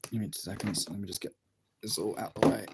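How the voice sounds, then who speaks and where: Young adult livestream host, informal and chatty, low-pitched, muttering to self, with clear background noise. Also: fairly even intonation, fast. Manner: informal, muttering to self between chat replies. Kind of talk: casual livestream chat while drawing.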